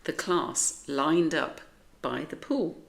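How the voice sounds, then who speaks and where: A woman's voice: deep voice, slow, gravelly. A woman with a British accent reading a children's story aloud expressively.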